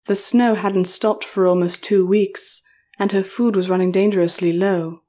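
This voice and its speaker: Mellow woman narrating a story. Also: medium pitch, fairly even intonation, normal speed.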